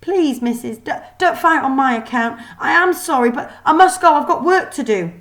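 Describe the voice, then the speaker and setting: Meek mechanical servant for a children's storybook, played by a woman: high, hesitant, apologetic, pleading. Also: lively intonation, moderate pace, fairly bright tone. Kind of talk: children's storybook read aloud.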